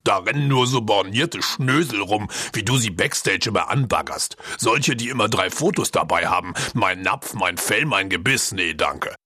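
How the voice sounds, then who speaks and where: A man voicing a gruff, dismissive male dog musician in a storybook reading. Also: fairly low pitch, animated, moderate pace, few pauses, pushed and bright, dry recording, studio quality. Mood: grumpy. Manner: growling, dismissive.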